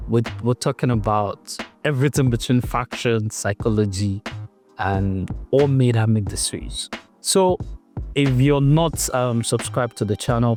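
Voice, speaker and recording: A man hosting a casual interview, relaxed and repeating his words. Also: mid-range voice. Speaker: podcast host. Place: London.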